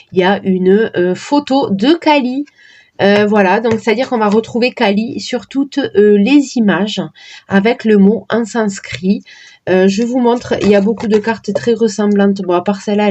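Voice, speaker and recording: A woman casually presenting her oracle card decks, talking in a hesitant way with many pauses and fillers. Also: medium pitch, warm tone, highs cut off, slight background noise. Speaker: card reader vlogger.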